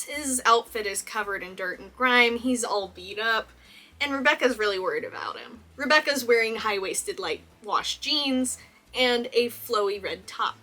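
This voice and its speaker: A woman's voice: a little high, bright, forceful tone. A young woman from Texas, a cosplayer, chatting enthusiastically through a casual movie costume analysis and laughing often. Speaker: cosplayer and video host.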